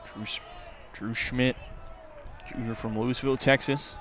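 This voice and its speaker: A man's voice: medium pitch, steady tone, unhurried. Male baseball play-by-play announcer, crowd behind. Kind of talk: live baseball radio commentary. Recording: outdoor ballpark crowd and ambient noise. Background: ballpark crowd.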